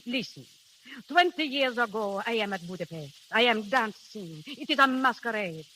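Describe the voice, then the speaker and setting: 1930s radio drama, hissy: sly middle-aged continental temptress, bright voice, swooping delivery, pleading in broken English. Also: female voice, fairly high pitch, expressive, normal speed, rough recording. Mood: mournful confiding. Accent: continental European. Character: sly, melodramatic middle-aged European seductress with a sob story.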